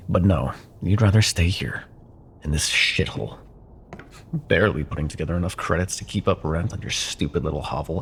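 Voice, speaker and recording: A man's voice: sits low, a little hiss or hum. A man playing an arrogant, possessive corporate CEO ex-lover in a cyberpunk ASMR roleplay, sardonic and menacing, with condescending pet names. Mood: exasperated scorn. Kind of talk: ASMR roleplay, dramatic monologue.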